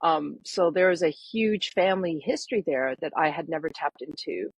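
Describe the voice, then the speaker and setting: A woman in her fifties who grew up in Canada tells her story in an interview. Her voice is slightly low and breathy, soft and gentle. She talks earnestly and reflectively, often pausing on fillers. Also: expressive, poor audio. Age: 50s. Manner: earnest, reflective, many ums. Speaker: guest, parenting coach. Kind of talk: podcast interview, personal story.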